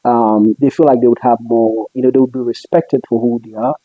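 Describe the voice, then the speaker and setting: A man's voice: medium pitch, steady tone, brisk, no room sound, narrow, tinny audio. A young man from Ghana whose accent mixes Ghanaian and international English, chatting casually as an interviewer and often laughing. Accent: Ghanaian mixed with international English. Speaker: interviewer and web show host.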